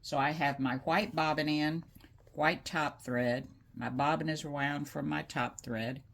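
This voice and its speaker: A woman's voice: sits low, fairly even intonation, a little slow, creaky, fairly bright tone. A woman hosting a casual embroidery tutorial, chatting homely with fillers as she works at her machine. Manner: chatty, homey, talks while working, many fillers. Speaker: crafting channel host. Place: craft room by an embroidery machine. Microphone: speaker placed away from her, voice faint.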